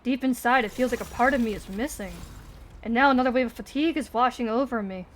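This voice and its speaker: A woman's voice: a little high, fast talker, a little hiss or hum. A woman livestreaming a video game, mixing informal reactions with lines read aloud from the screen. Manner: informal, swears freely.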